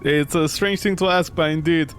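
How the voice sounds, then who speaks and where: A man reads the part of an old woman tenant in a horror game, anxious and stubborn. The phrasing is formal and a little stilted, over a faint rumble. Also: moderate pace, natural voice. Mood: polite, musing.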